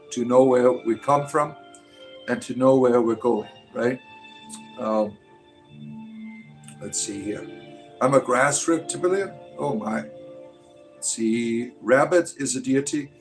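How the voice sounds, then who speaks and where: A man's voice: medium pitch, low-quality recording. A man in his late forties hosting a livestream, rambling loosely and reading out viewer comments, often ending with "right?". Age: 40s. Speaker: livestream host.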